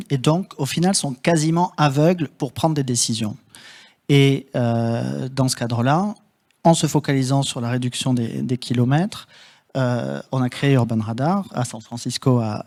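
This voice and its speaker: A man at a middle pitch presents his tech company to a panel audience. He speaks eagerly but stumbles over a few repeated words. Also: darkish tone. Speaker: startup founder on a panel. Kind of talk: panel discussion.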